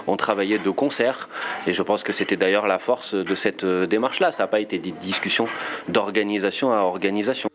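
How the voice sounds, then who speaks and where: A man's voice: lowish voice, a little fast, a bit bright, slight background noise. A man giving explanatory political answers in an interview.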